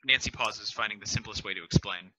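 A man reading stage directions in an even, matter-of-fact way, speaking into a headset. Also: fairly low pitch, fast, low-quality recording.

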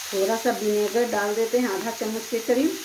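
A woman's voice: fairly high pitch, fairly even intonation, moderate pace. Woman walking you through a recipe step by step, kitchen noise behind her, pan sizzling. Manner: plain step-by-step explaining. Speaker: cooking channel host. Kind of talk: home cooking demonstration voice-over. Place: home kitchen.

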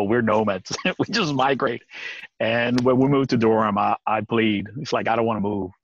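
A man's voice: on the low side, no echo. Man in his forties on a lo-fi webcam call. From Puerto Rico. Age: 40s. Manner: earnest, halting, many restarts, laughs often. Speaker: guest. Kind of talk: casual video-call interview. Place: outdoors on a deck by trees. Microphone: video call webcam. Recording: dry, dull lo-fi webcam sound.

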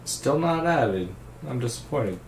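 A young man with a naturally deep, raspy voice, hosting a casual music reaction, laid-back and swearing freely as he praises a singer. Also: animated, a little slow, roomy sound, a little hiss or hum, low-quality recording. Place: indoor room at a streaming desk.

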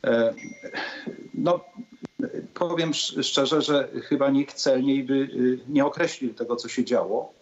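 A man speaking as a politician in a panel debate, hesitant and measured, with many pauses. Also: medium pitch. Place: indoors on a video call. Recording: dull, muffled video-call audio.

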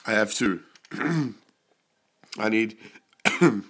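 A young man who is sick and keeps clearing his throat, casually commenting on his mobile game pulls and getting excited and swearing at good results. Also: on the low side, very slow.

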